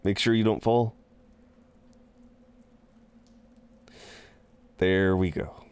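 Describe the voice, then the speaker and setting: A man playing an amused, seductive incubus in an intimate roleplay audio drama, teasing and soothing in an unhurried, halting way. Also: low voice, fairly even intonation, a little mellow, smooth, lightly acted. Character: amused, reassuring, seductive male incubus innkeeper.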